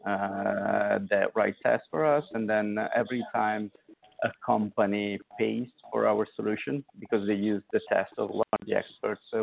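A man's voice: lowish voice, creaky. An Italian man with an Italian accent, a polite guest giving unscripted interview answers over a tinny phone-like connection. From Italy. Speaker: guest, startup founder explaining his product.

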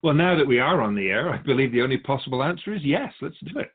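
A man's voice: medium pitch, lively intonation, quick pace, husky, phone-quality sound. A man playing an otherworldly spirit guide who is amused by humans and speaks with pedantic sarcasm in a scripted radio conversation. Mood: matter-of-fact, teasing.

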